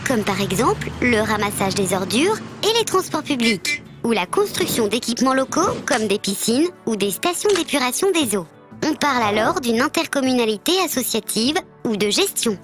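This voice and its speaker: High-pitched bird mascot teacher voiced by a woman. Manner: didactic, clearly structured explaining. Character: friendly tec-tec bird mascot teaching civics. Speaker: presenter of an educational programme. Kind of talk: scripted educational narration.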